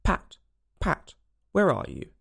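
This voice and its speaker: Storybook rabbit, performed by a man: irate little master, imperious and scolding, animated swings, gravelly edge. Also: fairly high pitch, fairly quick. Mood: angry, bossy. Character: angry, bossy rabbit master ordering servants about.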